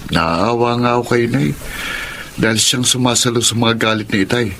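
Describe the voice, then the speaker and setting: A man's voice: medium pitch, moderate pace, fairly bright tone, a little hiss or hum, close to an everyday voice. A man playing a concerned, dutiful elder brother in a radio drama, thoughtful and troubled. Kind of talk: Tagalog radio drama acting.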